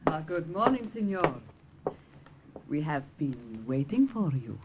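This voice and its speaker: A woman's voice: deep voice, sing-song, a little slow, muffled recording. A woman playing a proud, pampered young fugitive in an old radio drama. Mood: gracious. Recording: noisy old radio recording.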